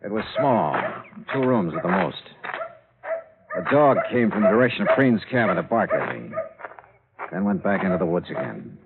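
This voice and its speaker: An actor playing a tough, terse insurance investigator in a 1950s radio crime drama, clipped, dry and probing, on a tinny old recording. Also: male voice, low voice, sing-song, moderate pace, very noisy. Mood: dry, matter-of-fact. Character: tough, terse male insurance investigator narrating his case. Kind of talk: 1950s radio crime drama acting, hard-boiled narration.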